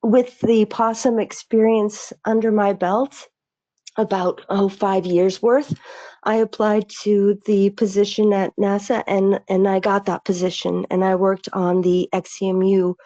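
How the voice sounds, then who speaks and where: A woman's voice: mid-range voice, little pitch movement, unhurried, dark tone. A middle-aged woman, an aerospace engineer, speaking earnestly on a video call with many restarts and fillers. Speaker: aerospace engineer, webinar panelist. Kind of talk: reflective webinar remarks. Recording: slightly dull lo-fi call audio.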